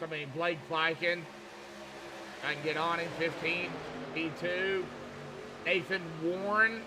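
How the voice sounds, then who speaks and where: A man's voice: sits high, background noise. A man with a Southern US accent calling a race live in a folksy, rambling way, often repeating phrases. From Arkansas, southern United States. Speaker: race commentator. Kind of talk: live sim racing commentary, folksy and conversational.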